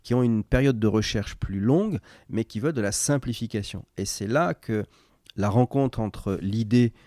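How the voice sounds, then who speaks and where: A man from Morocco explains his company to an interviewer, stopping and restarting often and filling pauses with hesitations. His voice sits low and sounds dark and mellow. The recording is dry and close, with no room echo. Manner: hesitant, many fillers and restarts. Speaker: company founder, guest. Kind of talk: conversational interview answers.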